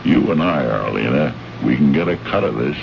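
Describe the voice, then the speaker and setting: An American actor playing a brash, greedy, condescending trade envoy from Earth in an old radio drama, talking in slangy, bullying fashion. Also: male voice, very deep voice, expressive, a little fast, creaky, full character voice. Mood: gloating, scornful. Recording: noisy, muffled old radio recording.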